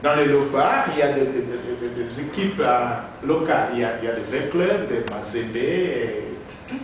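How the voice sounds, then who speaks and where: A man from Kinshasa, a politician giving interview answers in a halting way, repeating words and using many fillers. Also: medium pitch, expressive. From Congo (Kinshasa). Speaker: politician, presidential hopeful. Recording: echoey, distant, phone-like with noise.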